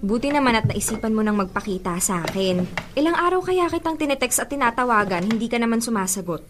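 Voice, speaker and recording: A woman's voice: on the high side, lively intonation, fast, faint music behind, natural voice. A woman with a Filipino accent acting a direct, questioning young woman in a radio drama, with faint background noise. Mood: reproachful. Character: questioning young woman, hurt close friend.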